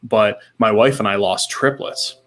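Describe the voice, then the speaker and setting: Male podcast co-host, thirties, fairly low voice, loose conversational delivery with slangy reactions. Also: unhurried, fairly bright tone. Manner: laid-back, slangy, repeats short reactions. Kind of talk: casual chat, reading viewer comments.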